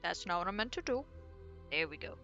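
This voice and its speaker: A woman's voice: lowish voice, lively intonation, brisk, vocal fry, bright, forceful tone, muffled recording. A woman streamer chatting casually and thinking aloud while she draws, rambling and muttering to herself. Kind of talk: casual chat while drawing. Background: faint background music.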